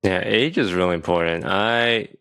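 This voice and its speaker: A man in his thirties from Malaysia with a gravelly, low voice, trading wry stories on a casual podcast. His sound is close and dry, with no room around it. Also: moderate pace, a bit bright, dry recording. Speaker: podcast co-host. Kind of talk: casual chat.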